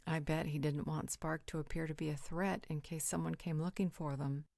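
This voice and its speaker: Woman playing a frank, low-voiced female confidante. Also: fairly even intonation, normal speed, vocal fry, darkish tone, no echo, clean studio sound. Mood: probing. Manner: insistent, reasoning, direct. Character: frank, perceptive blonde woman, confidante of a werewolf. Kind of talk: audio roleplay acting.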